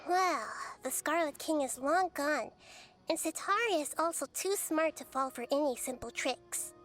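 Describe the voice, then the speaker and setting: A woman voicing a small, wise, gentle girl deity in a video game, speaking in a measured, thoughtful, explanatory way. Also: sits high, close to an everyday voice. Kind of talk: video game character dialogue. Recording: music behind.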